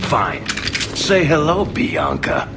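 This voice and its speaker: A man's voice: mid-range voice, huge pitch swings, slow, noisy background. An actor playing a wry, vengeful male rogue in a video game, sardonic and menacing.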